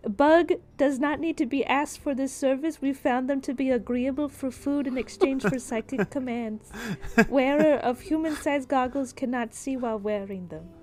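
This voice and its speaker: A woman's voice: high voice, moderate pace, hardly any pauses, darkish tone. A woman improvising as game master and explaining things conversationally in a tabletop roleplaying game. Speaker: game master voicing a shopkeeper in a tabletop RPG podcast.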